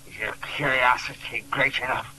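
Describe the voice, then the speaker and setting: A man's voice: mid-range voice, steady tone, moderate pace, pushed and bright. An actor playing a menacing, fiendish madman in 1940s radio horror, urgent, threatening and grandiose. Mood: sinister gloating. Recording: noisy 1940s radio recording with hum.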